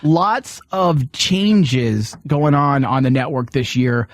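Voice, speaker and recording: A male podcast host with a medium-pitched voice chats casually and off the cuff. He rambles conversationally in a close, dry recording. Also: slow, no echo. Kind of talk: casual, unscripted show chat.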